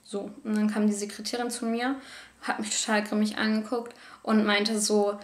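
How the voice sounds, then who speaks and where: A young woman from Germany, telling personal stories to the camera from her sofa, candid and animated. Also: mid-range voice, moderate pace, roomy sound. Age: young adult. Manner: candid, animated, gestures a lot. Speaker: vlogger. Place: living room, on a sofa.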